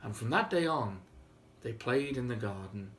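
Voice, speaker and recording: A man's voice: mid-range voice, expressive, moderate pace, a bit bright, slight background noise. A man retelling a fairy tale aloud in a theatrical, droll, conversational storytelling style. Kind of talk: animated oral retelling of a fairy tale with playful asides.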